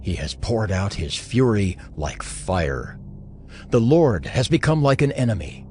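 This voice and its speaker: A man playing a solemn, mournful narrator of dramatized scripture, letting his phrases fall away gravely. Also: fairly low pitch, big pitch swings, unhurried, darkish tone, slight background noise. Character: solemn male narrator lamenting a ruined city.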